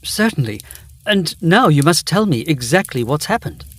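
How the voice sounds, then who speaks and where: An actor playing an earnest, formal gentleman barrister, narrating and speaking in a gothic audio drama. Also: fairly high pitch, big pitch swings, moderate pace. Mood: concerned. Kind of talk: first-person narration and audio drama dialogue.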